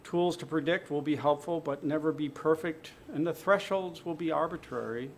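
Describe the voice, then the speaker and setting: A man presenting at a medical panel, talking in a halting way with many fillers and restarts. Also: on the high side, slight background noise.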